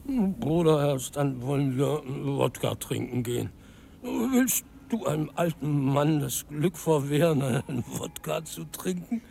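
A man's voice: on the high side, expressive, unhurried, creaky, dark tone, slight background noise. An actor playing a tipsy, insistent old man with slurred speech in a radio play. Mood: wheedling. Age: older.

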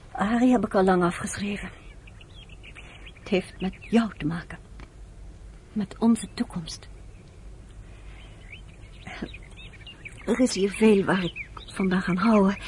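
A woman's voice: medium pitch, darkish tone, close to an everyday voice. Earnest, tender actress as woman in noisy radio play. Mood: serious, resolute. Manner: pleading, affectionate, emphatic. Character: earnest American woman, tenderly persuasive guardian. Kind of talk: radio drama acting.

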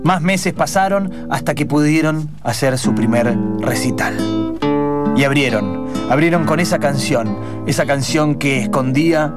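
A man's voice: on the high side, animated, unhurried, loud music behind the voice. A man with an Argentine accent narrating an improvised radio theatre story in a dramatic storyteller's style.

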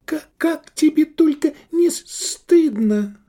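A man's voice: very high voice, animated, slow pace, warm tone, voice pushed up, heavily acted. A man voicing an outraged, scolding Roman matron, an indignant older woman in an audiobook. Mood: furious indignation.

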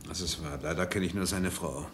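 A man's voice: low voice, steady tone, brisk, lightly acted. A man playing a sardonic, irritable doctor caught in an affair, in a German radio crime drama, stammering when rattled. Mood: sardonic, shaken. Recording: roomy vintage radio drama, background hiss.

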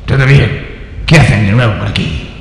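Radio-play barkeep , acted by a man: teasing, genial, Spain accent, creaky voice, echoing hall. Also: on the low side, lively intonation, moderate pace, a little hiss or hum. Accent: Spain Spanish, put on. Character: genial, teasing Spanish café owner. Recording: echoey hall with loud music.

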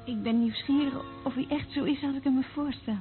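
An actress playing a young, curious, uneasy trainee in a radio play, hesitant and full of earnest questions. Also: female voice, high-pitched, steady tone, fairly quick, soft background music, close to an everyday voice. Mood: intent, curious. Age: young adult. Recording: tinny band-limited old radio recording.

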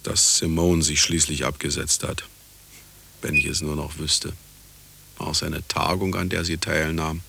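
An actor playing a cynical, self-justifying scientist and husband in a radio play, sardonic and condescending, with a gravelly voice. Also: male voice, rumbling low, exaggerated voice. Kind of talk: radio play acting, monologue and dialogue.

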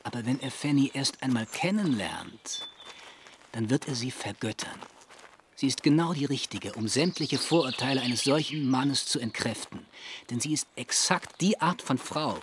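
An actor playing a charming, self-assured young gentleman in love in a radio play, speaking ardently and a little smugly. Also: mid-range voice, moderate pace, background noise, natural voice. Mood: confident. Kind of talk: German radio play acting.

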